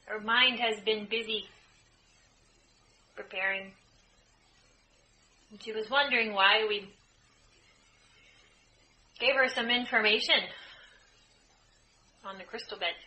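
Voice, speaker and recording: A woman leading a guided group meditation as a spiritual channeler, speaking in measured, deliberate phrases with frequent pauses. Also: medium pitch, steady tone, pushed and bright, poor audio. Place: indoor room, live group setting. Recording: live group room, clear background noise.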